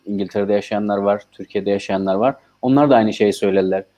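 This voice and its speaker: A male filmmaker speaking as an interview guest, calm and reflective, with filler sounds between his thoughts. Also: on the low side, quick pace. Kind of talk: interview answers.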